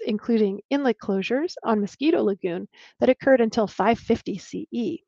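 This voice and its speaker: A woman archaeologist giving a slide talk over a video call, explaining clearly and sometimes restarting a word. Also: a little high, a little mellow, no room sound. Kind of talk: presenting a lecture with slides. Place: home room on a video call. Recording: dull, lo-fi dry video call audio.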